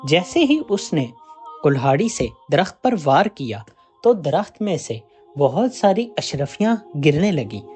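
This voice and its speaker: An expressive storyteller narrates a scripted moral tale with a lively, moving melody. The pitch sits in a middle range, and music plays softly behind the voice. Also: measured pace. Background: background music.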